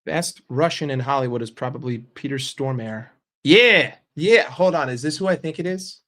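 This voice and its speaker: A man's voice: mid-range voice, moderate pace, a bit bright. A young man streaming, chatting casually with his viewers, joking and swearing freely. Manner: profane, joking, reacting to chat. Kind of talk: casual livestream chat.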